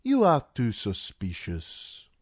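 A man putting on a fat, self-satisfied merchant lord who purrs his taunts in a genial, drawn-out, theatrical way. The voice sits noticeably higher than a plain deep baritone and swings widely in pitch. It is recorded dry and close. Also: wildly swooping pitch, slightly rough, dry recording, full character voice. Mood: mocking. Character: fat, jovial merchant magister, smug and needling. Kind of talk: audiobook character reading.

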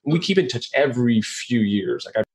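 Fast-talking raspy-voiced male podcast host. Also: mid-range voice, animated, a little mellow, poor audio. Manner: rambling, joking, fast talking with restarts. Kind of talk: casual interview chat.

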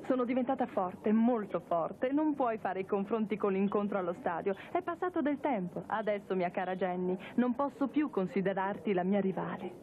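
A woman's voice: medium pitch, expressive, normal speed, hardly any pauses, close to an everyday voice. A voice actress playing a boastful, taunting young female rival with smug theatrical delivery in a cartoon dub. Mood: smug boasting. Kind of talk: cartoon dubbing. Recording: narrow phone-like dub, faint noise.

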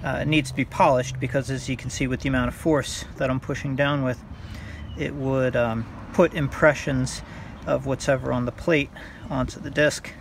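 A man talking through a hands-on repair tutorial in a halting, unscripted way, thinking aloud as he works outdoors. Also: mid-range voice, fairly even intonation. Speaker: hobbyist demonstrating a repair. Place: outdoors by a car on a windy day. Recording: clear outdoor background noise.

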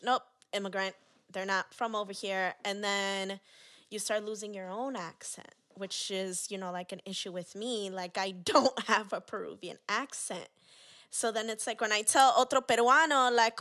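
A woman hosting a podcast, animated and quick to laugh, acting out the people she quotes. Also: mid-range voice. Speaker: podcast host. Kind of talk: candid interview conversation.

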